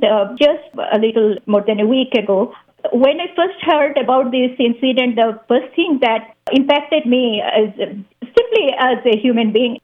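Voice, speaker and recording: A woman from Bangladesh, a guest speaking carefully with many hesitations over the phone. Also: fairly high pitch. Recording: phone line.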